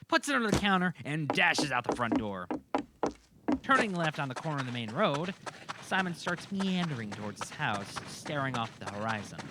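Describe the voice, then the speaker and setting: A man's voice: fairly high pitch, very animated, normal speed, very noisy. A man narrating a scripted audio drama in an informal, amateur reading style.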